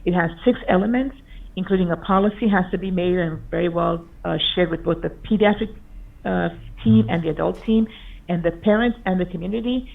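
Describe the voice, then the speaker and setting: A woman's voice: on the low side, a little fast, vocal fry. A woman physician giving an earnest, explanatory conference talk over slides from a video call. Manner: earnest, explanatory, with occasional restarts. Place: remote video call presentation. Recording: tinny phone-like video call audio.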